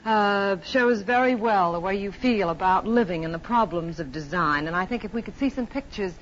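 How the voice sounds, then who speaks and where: Poised American woman, formal TV interviewer, 1950s broadcast sound with dull tone and clear background noise. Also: mid-range voice, moderate pace. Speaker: television host. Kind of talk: polished television interview. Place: television studio. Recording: muffled, noisy 1950s television broadcast.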